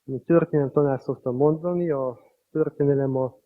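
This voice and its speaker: A man, a priest, giving a public speech in a measured, halting way with long pauses. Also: medium pitch, fairly even intonation, no echo. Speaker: priest and school director. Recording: dull lo-fi sound.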